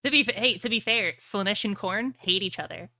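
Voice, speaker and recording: A woman's voice: medium pitch, brisk, sharp tone, no room sound. A woman playing a tabletop roleplaying game, mixing casual table talk with improvised narration.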